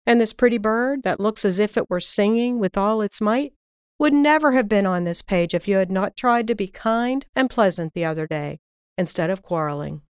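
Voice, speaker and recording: A woman voicing a kindly but firm fairy in a storybook, patient and measured as she teaches. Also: mid-range voice, clean tone, no room sound, clean studio sound, lightly acted. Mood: gentle, encouraging.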